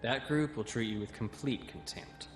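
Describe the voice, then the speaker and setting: A man's voice: mid-range voice, normal speed, natural voice. An actor playing a wary young male cadet who explains things in an audio comic drama. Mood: wry, explaining. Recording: roomy echo, music behind.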